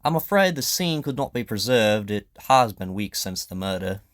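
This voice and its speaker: A man's voice: lowish voice, expressive, moderate pace, fairly bright tone, dry recording, studio quality. A man acting a proud, earnest king in an audio drama, speaking formally and emphatically with indignation and pleading. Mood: frank, earnest.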